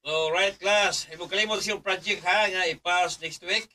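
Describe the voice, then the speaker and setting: A man's voice: sits high, fairly even intonation, moderate pace, sharp tone. An actor playing a commanding male schoolteacher in a Filipino radio drama, heard at a distance from the microphone. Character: male schoolteacher in charge of a class.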